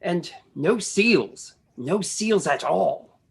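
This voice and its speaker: A man's voice: sits high, animated, very slow, vocal fry, slight background noise, full character voice. A man acting in a radio play as an anxious young ship's mate, with a hesitant, folksy manner. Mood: worried.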